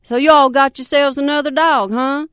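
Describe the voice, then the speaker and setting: A woman's voice: high voice, steady tone, normal speed, fairly bright tone, no room sound, clean studio sound, pitched above the performer's own voice. A woman voicing a folksy, friendly male electrician who is a grandfather.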